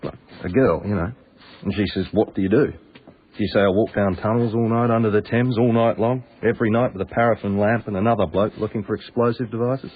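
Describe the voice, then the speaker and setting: Male actor as breezy Australian night worker, low voice, cheeky and blunt, radio play, thin noisy sound. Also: fairly even intonation, a little fast, close to an everyday voice. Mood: wry, chatty. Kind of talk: naturalistic radio drama dialogue. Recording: tinny band-limited radio broadcast, audible noise.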